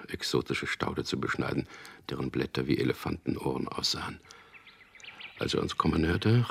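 A man's voice: very deep voice, gravelly, fairly bright tone, slight background noise, full character voice. An actor playing a wry, stubborn man in love who narrates his own story in a radio drama, with a dry, understated delivery. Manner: dry, understated, teasing, deliberate.

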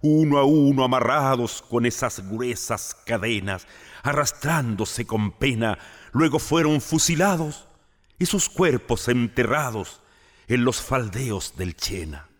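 A man's voice: medium pitch, animated, slow pace. A man giving a solemn commemorative speech with recited verse. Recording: long hall reverb.

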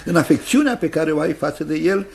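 An actor playing a fussy, kindly older guardian in a radio drama, deliberate and hemming as he talks. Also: fairly high pitch, lively intonation, vocal fry. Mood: hesitant, deliberate. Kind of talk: Romanian radio theatre acting.

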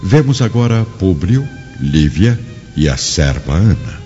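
A man with a very deep voice narrates a radio drama in a Brazilian accent. His delivery is dramatic and widely inflected, drenched in reverb with music behind him. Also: sing-song, measured pace. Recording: dull lo-fi, long reverb.

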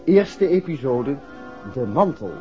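A man's voice: on the high side, big pitch swings, slow. A man formally announcing the titles of a 1960s radio drama. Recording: dull old radio recording over music. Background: music.

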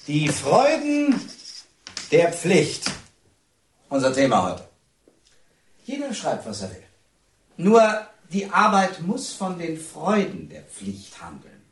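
A stern, ironic schoolmaster in a radio play. His declamatory voice sits fairly high and leaps widely in pitch as he commands the class. It sounds roomy and set back from the microphone. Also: huge pitch swings, takes it slowly, long pauses, heavily acted. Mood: pompous, commanding. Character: strict, ironic schoolmaster at a youth detention centre. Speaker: teacher. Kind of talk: radio drama acting. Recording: roomy, distant from the mic.

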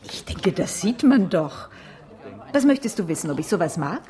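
Lively-pitched, brisk, candid woman. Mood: curious, thoughtful. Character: brisk, candid woman colleague minding small children. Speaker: actor in a radio crime play. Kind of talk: 1980s radio drama dialogue.

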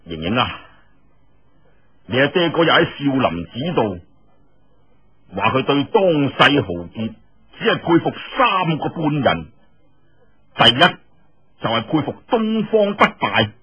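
A curious young swordsman hero from an audiobook, played by a male storyteller, speaks in a husky, unhurried voice. He is familiar and probing, drawing out his questions. The sound is slightly muffled. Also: mid-range voice, very animated, heavily acted. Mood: musing, curious.

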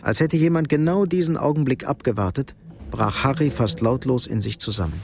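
A man reading scripted narration for a radio drama, with a gravelly voice. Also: mid-range voice, fairly quick.

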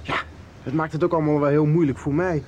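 Guy acting a young dreamer in a radio soap, kinda high voice, hesitant, earnest, unsure of himself. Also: steady tone, fast talker, a little mellow, a little hiss or hum, lightly acted. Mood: anxious. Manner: hesitant, sentimental. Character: earnest, doubting young man leaving home to search.

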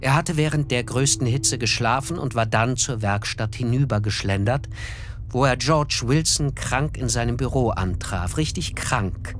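A man narrating a radio play in a measured, reflective way. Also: medium pitch. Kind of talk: scripted literary narration.

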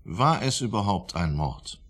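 Husky, scheming professor psychiatrist. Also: male voice, fairly low pitch, big pitch swings, unhurried, fairly bright tone, exaggerated voice. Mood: calculating, formal. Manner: formal, probing, condescending. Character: shrewd, self-important male professor of psychiatry, scheming. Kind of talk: radio drama dialogue.

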